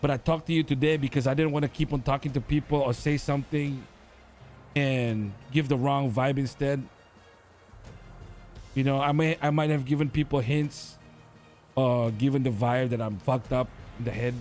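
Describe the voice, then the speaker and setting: A man talking in character in a roleplay game, hesitant and halting, with many fillers. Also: medium pitch, steady tone, darkish tone, soft background music. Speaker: gang member in a roleplay server.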